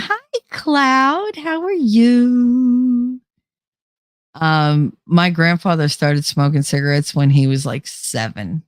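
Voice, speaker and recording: A woman's voice: fairly low pitch, no echo. A young adult woman hosting a livestream, chatting casually and rambling, a little nasal from a cold, close to the microphone. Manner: rambling, chatty, laughs often. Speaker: livestream host. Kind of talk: casual chat reading live comments. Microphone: close desk microphone.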